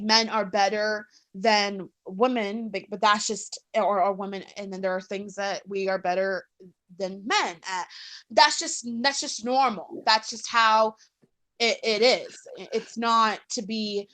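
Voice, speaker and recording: A woman's voice: mid-range voice, a little slow, fairly bright tone. A woman giving her opinions in a casual discussion, often repeating words and restarting her sentences.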